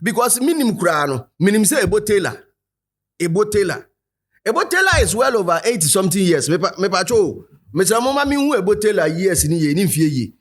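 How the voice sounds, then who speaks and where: Animated Ghanaian host, fairly high voice. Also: male voice, a little fast. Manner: animated, rhetorical, addresses viewers directly. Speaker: show host. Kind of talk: commentary to camera, mixing Twi and English.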